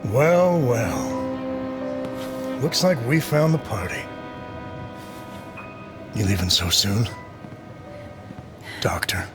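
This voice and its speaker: Very slow, taunting villain. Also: male voice, medium pitch, big pitch swings, over music. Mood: mocking menace. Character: taunting, menacing male intruder, mock-polite. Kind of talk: dramatic video game voice acting.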